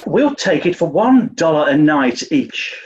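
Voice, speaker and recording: An actor playing a cheerful, oblivious upper-class British toff in a 1940s-style radio comedy. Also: male voice, fairly high pitch, very animated, measured pace, a little mellow. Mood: genial, reassuring. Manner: jaunty, genial, oblivious. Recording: dull, muffled recording.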